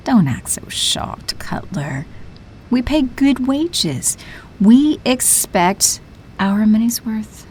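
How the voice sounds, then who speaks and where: A woman's voice: on the high side, very animated, takes it slowly, darkish tone, slight background noise. A woman playing a cold, commanding villainess in an audio drama, imperious and coolly menacing in her delivery. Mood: menacing, smug. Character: cold, commanding villainess, telepathic leader of henchmen.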